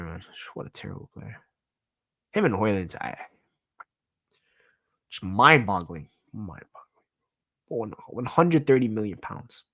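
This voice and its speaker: A man's voice: lowish voice, a bit bright, slightly rough. A young man hosting his own sports talk show, venting in a casual, exasperated rant full of repeats and swearing.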